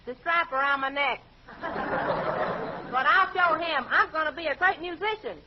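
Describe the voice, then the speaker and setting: Plucky folksy gal, high voice, old radio comedy, loud hissy recording. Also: female voice, unhurried, highs cut off. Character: brash, folksy comic young lady, undaunted amateur musician. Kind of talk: 1940s radio comedy acting.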